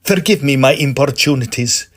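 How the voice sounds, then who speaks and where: An actor playing a florid, sincere, lovelorn opera singer in an audio drama, declaiming with great emotion. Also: male voice, medium pitch, normal speed, lightly acted. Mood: earnest, devoted.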